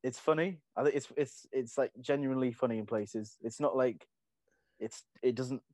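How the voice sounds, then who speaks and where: Male co-host, halting delivery, opinionated, dry close mic. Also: medium pitch, darkish tone, dry recording. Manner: hesitant, lots of restarts, opinionated. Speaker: podcast co-host. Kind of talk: casual podcast chat.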